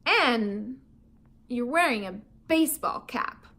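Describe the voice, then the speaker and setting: A woman reading a soft-spoken older wife. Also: a little high, expressive, slow pace, full character voice. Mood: gentle.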